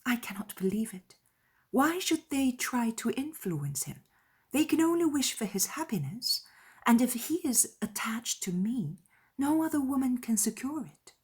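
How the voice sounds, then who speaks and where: A hushed, breathy young gentlewoman, acted by a woman from a period audiobook drama. She is tender and entreating, with a husky softness that drops close to a whisper as she earnestly asks others to think kindly. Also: fairly high pitch, very animated, measured pace, voice pushed up, full character voice. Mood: hurt, pleading. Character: gentle, soft-spoken young woman pleading for charity toward others. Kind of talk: dramatized period novel dialogue.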